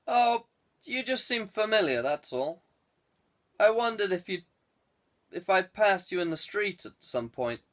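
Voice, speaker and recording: A man's voice: high-pitched, very animated, a little slow, pauses often, a bit bright, voice pushed up, exaggerated voice. Man reading as a politely probing male detective. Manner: polite, casually inquisitive questioning. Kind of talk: audiobook character dialogue.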